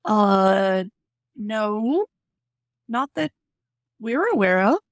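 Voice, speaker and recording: A woman's voice: medium pitch, expressive, extremely slow, no echo, studio quality. A woman co-hosting a podcast with casual banter and light skit lines. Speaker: podcast co-host.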